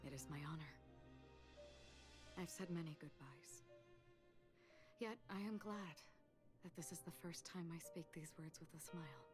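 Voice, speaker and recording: A woman playing a calm, solemn, gentle woman in a video game, speaking in a measured, hushed, wistful way. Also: deep voice. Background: game music.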